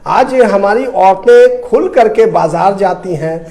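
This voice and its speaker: A man's voice: high-pitched, animated, slow pace, gravelly, warm tone. A man from India with a Bihari accent, giving impassioned political commentary, emphatic and repetitive, full of rhetorical questions. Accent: Indian, Bihar region. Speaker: debate guest, commentator.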